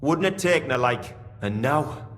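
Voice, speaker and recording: A man's voice: mid-range voice, lively intonation, unhurried, natural voice. An actor playing a plain-spoken, devout mine foreman with a Geordie accent and earthy dialect in a video game. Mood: grieving. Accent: Northern English, Geordie. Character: grieving working-class miner foreman, devout and plain-spoken. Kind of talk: video game dialogue acting. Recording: long cavernous reverb.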